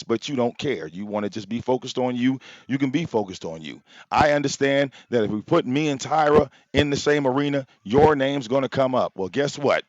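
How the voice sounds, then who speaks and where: Guy ranting live on his stream, loud voice, pitch jumping everywhere, kinda muffled dry audio, mic popping. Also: dry recording. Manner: argumentative, fires rhetorical questions, profane. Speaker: livestream host. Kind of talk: confrontational live-stream rant. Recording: dull, slightly lo-fi, dry sound.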